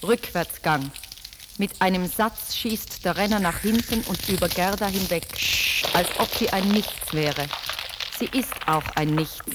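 A woman's voice: mid-range voice, noisy background. A woman reading scripted literary narration for a radio drama. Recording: music behind the voice. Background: prominent music.

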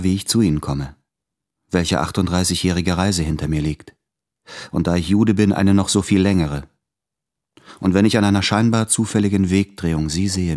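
An actor playing an anguished, self-doubting man in his late thirties, speaking in measured, introspective literary monologue in a radio drama. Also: low-pitched, darkish tone, natural voice. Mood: solemn.

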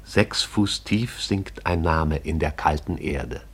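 A man narrating a radio play in a measured, poetic manner. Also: a bass voice, fairly bright tone, breathy edge.

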